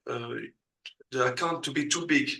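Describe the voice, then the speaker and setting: A man from Belgium speaks as an in a casual interview. His voice is gravelly yet bright and forward. He talks hesitantly and fills his pauses with plenty of "uh". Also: medium pitch. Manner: hesitant, many uh fillers, non-native grammar. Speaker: guest.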